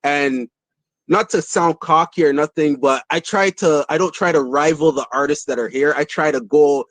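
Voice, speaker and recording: Relaxed Barbadian man, dancehall artist. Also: on the high side, normal speed, dry recording. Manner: relaxed, rambling, fillers. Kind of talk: casual interview.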